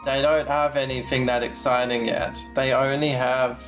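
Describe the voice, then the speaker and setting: Low female voice, casual game stream chat, irreverent and sweary, faint music behind. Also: level tone, normal speed, poor audio.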